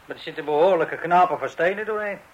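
A man's voice: a little high, fast talker, lo-fi sound, natural voice. Actor playing a blunt, grumbling binman. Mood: stubborn. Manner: blunt, colloquial, insistent. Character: stubborn, rule-bound working-class refuse collector, grumbling. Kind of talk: radio play acting.

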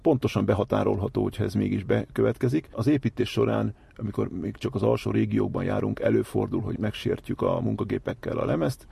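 A man with a low voice full of gravelly fry explains things as a manager being interviewed. His tone is dark and a little husky, and his delivery is methodical and technical. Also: dry recording.

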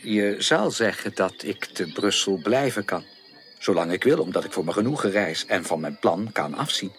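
An actor playing an earnest, caring and direct gentleman suitor in a radio drama, speaking formally and emphatically with probing, insistent questions. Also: deep voice, animated, moderate pace, faint music behind. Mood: ardent, determined. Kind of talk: 1980s Dutch radio drama acting.

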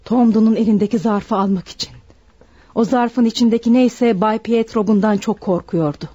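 An actress playing a desperate, bitter servant woman confessing to murder in a deliberate, dramatic radio play style. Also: fairly high pitch, steady tone, dark tone, husky, no echo. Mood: grave confession. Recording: dull, dry radio recording.

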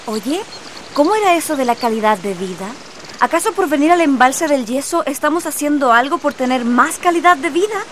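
A young woman playing a chatty university student and big sister, with a Chilean accent and an expressive manner. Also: high voice, fairly quick, slight background noise. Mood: chatty, pleased. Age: young adult.

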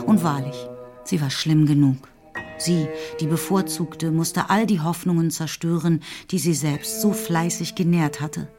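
Woman, low voice, radio drama narrator, scripted literary storytelling, faint music behind.

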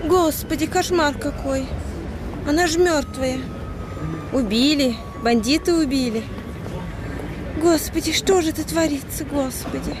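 Radio drama bit part: shocked woman on the street, high lamenting voice, music underneath. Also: a little slow, long pauses. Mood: horrified. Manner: lamenting, exclaiming. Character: horrified female passer-by on a Russian street.

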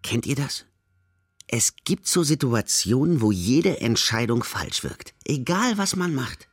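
Irritable fugitive, radio crime drama, very rough husky voice, lively pitch swings, slangy, music behind. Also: male voice, measured pace. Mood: wry, recounting. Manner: excitable, slangy, exasperated. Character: agitated young man on the run, irritable narrator.

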